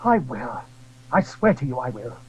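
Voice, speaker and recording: A man's voice: mid-range voice, sing-song, normal speed, a little mellow, breathy edge. A man playing an ardent, lovesick English duke in an audio drama, speaking in florid, breathless, melodramatic phrases. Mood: solemn devotion. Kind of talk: theatrical audio drama acting. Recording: muffled old audio drama recording.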